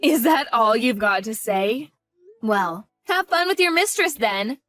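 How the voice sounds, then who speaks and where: A woman's voice: fairly high pitch, lively intonation, a little slow, a bit bright, dry recording, faint music behind. A woman voicing a protective, anxious single mother who speaks firmly and pleads in a dramatized story. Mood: cold. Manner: firm, worried, pleading.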